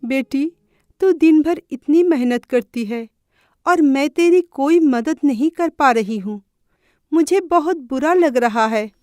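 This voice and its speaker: Woman playing a plaintive, high-voiced widowed mother. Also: slow, a little mellow, no echo, studio quality. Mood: sad, tender. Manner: plaintive, caring. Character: worried, struggling widowed village mother. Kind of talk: Hindi moral-story cartoon voice acting. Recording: music behind the voice.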